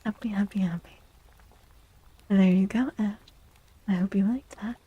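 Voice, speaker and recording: A soft-spoken woman livestreaming an ASMR tarot reading, gentle and soothing, with a breathy voice that often slips into a whisper. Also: mid-range voice, fairly even intonation, muffled recording. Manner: gentle, soothing, unhurried reassurance.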